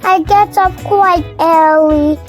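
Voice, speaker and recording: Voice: very high-pitched, steady tone, slow pace, faint music behind. A storyteller voicing a chatty, polite little storybook woman who rambles on in a sing-song way. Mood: polite chatter.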